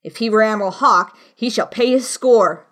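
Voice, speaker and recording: A woman's voice: mid-range voice, moderate pace, a bit bright, lightly acted. Woman acting a blustering one-legged old seafaring landlord. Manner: blustering, salty sailor's talk.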